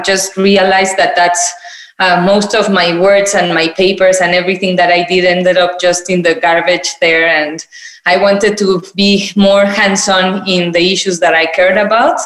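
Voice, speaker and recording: Woman from Mexico, low and roomy. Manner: thoughtful, hesitant, many fillers. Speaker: guest. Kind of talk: personal introduction in a conversation.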